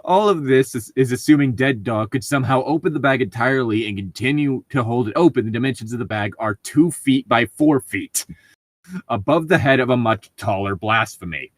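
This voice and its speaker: A man's voice: mid-range voice, a little fast, dry recording. A man co-hosting a podcast, chatting casually and reading stories aloud. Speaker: podcast co-host.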